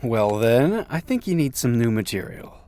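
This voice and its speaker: A man's voice: mid-range voice, very animated, moderate pace, mellow, natural voice. A man playing an easygoing young friend in an audio roleplay, casual and drily teasing. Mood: dry, teasing. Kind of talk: interactive audio roleplay acting.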